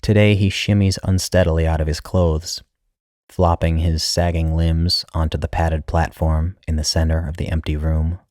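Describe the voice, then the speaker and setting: A man's voice: a bass voice, flat delivery, normal speed, a little mellow, no room sound, clean studio sound. A man reading a literary short story as its narrator, in a scripted style.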